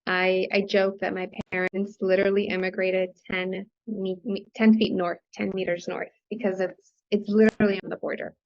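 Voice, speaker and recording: A woman raised on the US-Mexico border whose first language is Spanish talks with lively enthusiasm, explaining ideas and checking in with "right?". Her voice carries a gravelly fry. The lo-fi podcast audio sounds dull. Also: medium pitch, normal speed. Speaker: guest, financial coach. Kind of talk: conversational interview.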